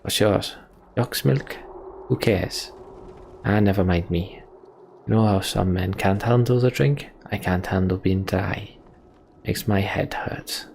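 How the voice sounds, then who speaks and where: A man's voice: on the low side, slow, vocal fry, darkish tone, slight background noise. A man voicing a hard-drinking, roguish axe-thrower from a fantasy game, with sardonic, grumbling, colloquial talk. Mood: grumbling, sour.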